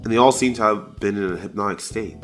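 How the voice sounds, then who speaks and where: A man's voice: lowish voice, fast, faint music behind, natural voice. A young man playing a weary, wry student superhero in an audio drama, casual and dryly sarcastic. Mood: focused.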